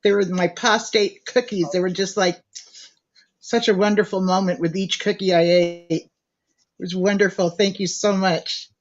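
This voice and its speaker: A man's voice: high voice, fairly even intonation, moderate pace. A man hosting a group call, speaking in a gushing, sincere and heartfelt way. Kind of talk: casual call-in chat. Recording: muffled call audio.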